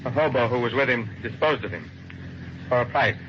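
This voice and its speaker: An actor playing a wealthy, guilt-ridden murderer in an old radio crime drama, speaking in a formal, measured, terse way. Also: male voice, medium pitch, animated, natural voice. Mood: guarded, resigned. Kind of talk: mid-century American radio crime drama acting, first-person narration. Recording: dull, noisy old radio recording.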